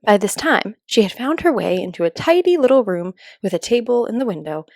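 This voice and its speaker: A woman storyteller giving a calm read-aloud narration for children. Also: mid-range voice, a little fast, no echo, clean studio sound.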